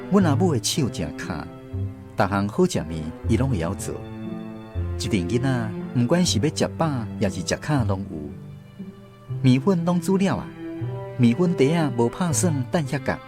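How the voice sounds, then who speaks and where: A man's voice: mid-range voice, big pitch swings, normal speed, close to an everyday voice. A man playing a son who looks back on his poor childhood, narrating a radio drama with a Taiwanese accent. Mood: nostalgic. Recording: music under the voice.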